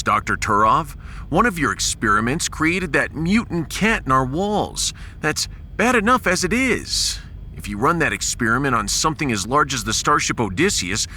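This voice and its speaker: A man's voice: medium pitch, bright, forceful tone, a little hiss or hum. A man playing a firm, decisive starship captain with a dry manner in a science fiction audiodrama.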